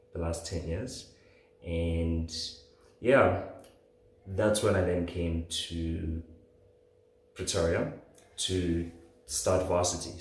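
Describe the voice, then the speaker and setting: Casting: man, late 20s, low-pitched, informal podcast storyteller, recorded in a heavily echoing room. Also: darkish tone. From southern Africa, born in Zambia. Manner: informal, conversational, often says "like". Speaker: podcast host. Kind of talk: casual solo podcast storytelling. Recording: very echoey room.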